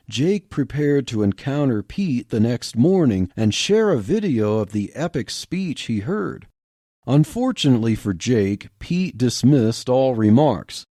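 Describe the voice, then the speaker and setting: Animated male narrator with a mellow tone. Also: mid-range voice, no room sound, clean studio sound. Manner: expressive, animated storytelling. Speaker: podcast host and narrator. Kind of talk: audiobook-style podcast story reading.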